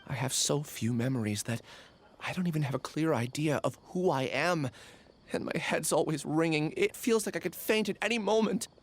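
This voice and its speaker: A man's voice: a little high, normal speed, natural voice. An actor playing a confused young male researcher with lost memories in a game, hesitant and apologetic. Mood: troubled. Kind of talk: game voice acting.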